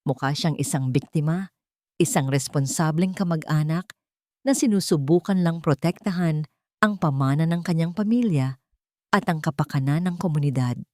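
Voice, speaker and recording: Low-voiced woman narrating a dramatic story. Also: normal speed, warm tone, no echo, clean studio sound.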